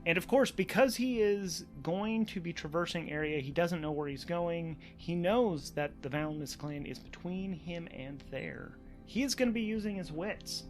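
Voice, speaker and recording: A man narrating a solo tabletop role-playing game as game master, improvising haltingly and often repeating words. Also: fairly high pitch.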